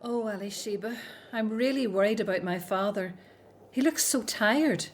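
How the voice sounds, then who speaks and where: A woman's voice: medium pitch, normal speed, slight background noise, natural voice. An actress in a radio drama playing an outspoken, frustrated Hebrew daughter, earnest and pointed as she frets for her family. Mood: worried.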